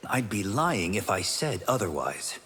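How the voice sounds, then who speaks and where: An actor playing a modest, courteous martial arts champion in a video game, with a polite, earnest delivery. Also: male voice, lowish voice, very animated, measured pace, pushed and bright, slightly rough, heavily acted. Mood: calm resolve. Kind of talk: video game character dialogue. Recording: long reverb with background noise.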